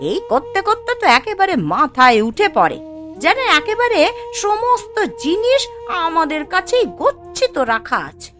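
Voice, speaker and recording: A snobbish, mean rich wife, played by a woman in a cartoon morality tale. Her high voice swoops about as she scolds, sharp and dismissive, with faint music behind. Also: very animated, moderate pace, heavily acted. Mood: mocking. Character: haughty, mean rich married woman, scornful of beggars. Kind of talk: Bengali moral-story cartoon acting.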